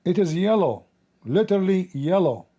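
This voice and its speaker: A man hosting a commentary video in halting non-native English full of restarts, speaking in a mocking tone. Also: sits high, expressive, mellow, muffled recording. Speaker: channel host. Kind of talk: casual commentary, quizzing an AI assistant.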